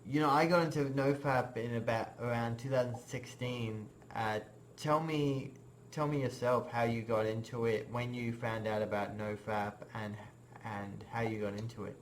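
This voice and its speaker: A young man raised in Australia hosting a casual podcast interview, chatty, with lots of fillers and quick laughs. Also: medium pitch, fairly even intonation, slight background noise. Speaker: podcast host.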